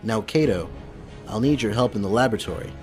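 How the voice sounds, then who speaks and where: A commanding newspaper boss and secret crimefighter acted by a man for a radio drama. His voice is on the low side and businesslike, with music clearly playing behind it. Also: expressive, fast, a little mellow, lightly acted. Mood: businesslike, resolute.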